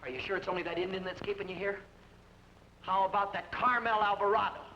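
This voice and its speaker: An earnest, pleading kid brother. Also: male voice, high-pitched, steady tone, fast talker, pushed and bright. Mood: insistent. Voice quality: insistent, disbelieving. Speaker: outlaw's brother. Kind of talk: 1940s radio acting. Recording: dull old radio broadcast with hiss.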